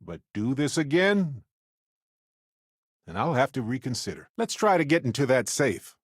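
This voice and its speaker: An actor playing a wry, affectionate, talkative space explorer companion in a video game, with a playful, expressive, conversational delivery. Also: male voice, mid-range voice, normal speed, dry recording, clean studio sound. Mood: earnest.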